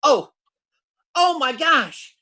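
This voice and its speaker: An actor playing a folksy, blustering, scheming husband in old-time radio comedy, using slang and stammering when flustered. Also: male voice, very high-pitched, sing-song, extremely slow, bright, forceful tone, full character voice. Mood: flustered, panicky. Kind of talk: vintage radio comedy acting.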